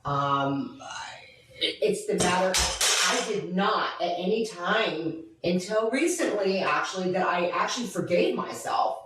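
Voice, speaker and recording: An American woman in her fifties from California, telling her personal story candidly and earnestly in emphatic, halting phrases. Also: lowish voice, animated, a bit bright, slight background noise. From California, United States. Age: 50s. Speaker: interview guest. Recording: very echoey room, distant mic.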